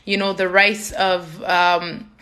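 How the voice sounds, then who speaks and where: A woman's voice: fairly low pitch, flat delivery, slow, bright, forceful tone. A young woman from Canada hosting a live stream for her community foundation. She switches between English and Somali and uses many fillers. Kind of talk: live stream talk to viewers.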